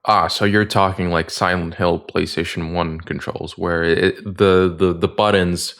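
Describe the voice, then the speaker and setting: A man with a very low voice, asking his co-hosts questions about games. He is loose and jokey, with a crude sense of humor, and talks in a casual, unhurried way. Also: fairly even intonation, fairly bright tone. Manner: joking, crude, inquisitive. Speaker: podcast co-host. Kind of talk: casual gaming podcast chat.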